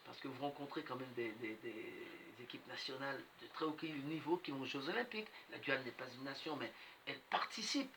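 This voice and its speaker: A man interviewing guests conversationally on radio, haltingly repeating words as he forms his questions. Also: medium pitch. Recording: clearly noisy radio interview recording.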